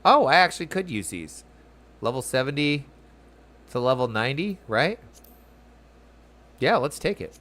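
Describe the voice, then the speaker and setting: A man's voice: medium pitch, very animated, moderate pace, pauses often, a bit bright. A man chatting casually and enthusiastically as he streams a game, with slangy, informal talk. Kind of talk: casual chat while playing a game. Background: video game music.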